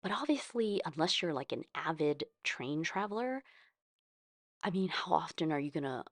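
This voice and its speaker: Woman, American accent, low pitch, casual rambling chat, conversational craft host, dry close sound. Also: animated, normal speed, no echo. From California, United States. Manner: rambling, conversational, thinking aloud. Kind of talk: casual chat about journaling.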